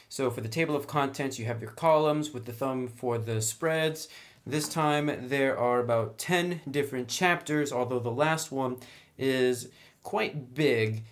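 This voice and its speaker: A man giving a casual, conversational review narration as a reviewer of tabletop game books. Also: mid-range voice.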